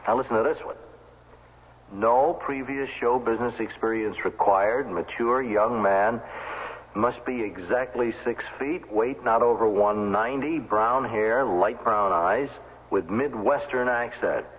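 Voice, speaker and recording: Actor as eager, coaxing pal on tinny radio. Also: male voice, medium pitch, unhurried, a little hiss or hum, close to an everyday voice. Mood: eager, insistent. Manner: emphatic, coaxing. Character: eager, persuasive male friend pushing a job lead. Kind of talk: 1970s radio drama acting, reading out notices. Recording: phone-like, echoey old radio recording.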